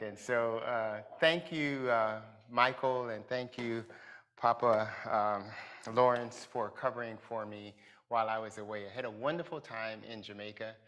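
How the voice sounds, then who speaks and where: A middle-aged man preaching as a pastor from a pulpit in a large church hall, speaking deliberately and building emphasis. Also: medium pitch, fairly bright tone, slight background noise. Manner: slow, deliberate delivery with rising emphasis. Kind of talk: sermon.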